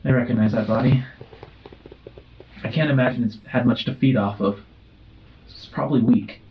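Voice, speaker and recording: A man's voice: medium pitch, steady tone, moderate pace, dull audio, background noise, natural voice. A man voicing a nervous, blunt data analyst in a tabletop game, hesitant and dry. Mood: uneasy, dry. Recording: music under the voice.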